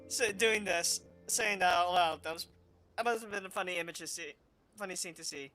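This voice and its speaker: High-pitched man doing game commentary. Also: a little fast, low-quality recording. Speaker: gaming video host. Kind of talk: casual let's play commentary. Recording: game music behind the voice.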